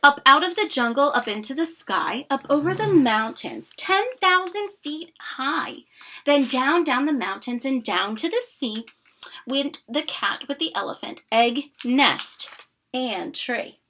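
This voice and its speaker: Female children's storybook reader: high, bright, dramatic, rhyming delivery with casual asides. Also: lively intonation, unhurried. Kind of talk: expressive read-aloud of rhyming story.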